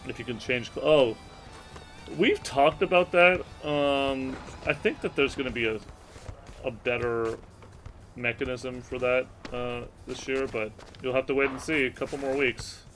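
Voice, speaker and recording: A man in his thirties answers questions casually on a livestream. He is measured and noncommittal, with a mid-pitched voice. There is music behind him. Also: steady tone, fairly bright tone. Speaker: game company representative on a livestream. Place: indoor room, seated at a webcam.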